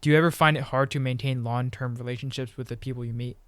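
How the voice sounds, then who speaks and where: A man's voice: medium pitch, steady tone, fast talker, smooth, dry recording. A man hosting a podcast, asking brief questions to prompt his guest. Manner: brief, prompting, many short acknowledgements. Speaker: podcast host, interviewer. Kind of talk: interview questions.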